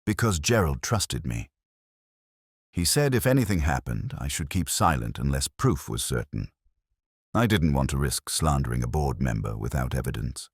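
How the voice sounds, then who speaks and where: A man's voice: a bass voice, sing-song, normal speed, husky, no room sound, studio quality, heavily acted. A man voicing a taut, principled woman in an audiobook, firm, edged and self-possessed. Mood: defensive. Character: taut, principled woman defending her silence.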